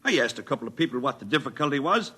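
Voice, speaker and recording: A man playing a plain-spoken, excitable beat cop with a rough voice and colloquial storytelling, in 1950s radio drama style. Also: mid-range voice, very fast, exaggerated voice. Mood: excitable, insistent. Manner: colloquial, working-class storytelling.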